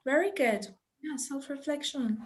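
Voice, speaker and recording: A woman leads an online teacher-training session, speaking slowly in a somewhat high voice. She chats easily, dropping in little laughs and fillers as she talks to participants over a video call. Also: lively intonation. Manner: chatty, unhurried, with light laughs and fillers. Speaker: webinar presenter. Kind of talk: friendly online teacher talk.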